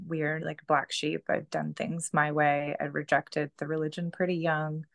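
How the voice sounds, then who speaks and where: A woman in her thirties speaks as a podcast guest with a low pitch and a gravelly edge of fry. She talks loosely and chattily, leaning on "like" and "you know" as her thoughts unfold. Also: little pitch movement, a little slow, no echo, clean studio sound. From Canada. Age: 30s. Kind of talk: casual conversational storytelling.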